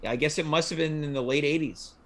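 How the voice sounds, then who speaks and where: A man's voice: on the high side, fairly even intonation, fast talker, fairly bright tone. A man hosting a talk show in casual, conversational chat.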